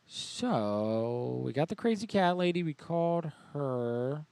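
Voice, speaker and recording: A man's voice: fairly high pitch, animated, slow pace, creaky, a little mellow, clear, resonant tone, no echo. A man casually bantering as host of a live prank call show, with crude, slangy joking.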